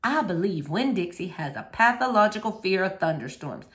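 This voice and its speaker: A woman voicing a gentle, thoughtful preacher father who explains things slowly and deliberately in a children's storybook. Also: medium pitch, expressive, reverberant, pitched below the performer's own voice, close to an everyday voice. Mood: matter-of-fact. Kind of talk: children's storybook read-aloud.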